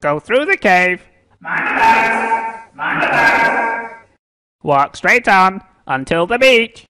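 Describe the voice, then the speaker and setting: Excitable talking parrot, children's cartoon; bright, forceful squawk, swooping delivery. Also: medium pitch, takes it slowly. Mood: excited. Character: excitable talking parrot reading map directions. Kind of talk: children's cartoon story acting.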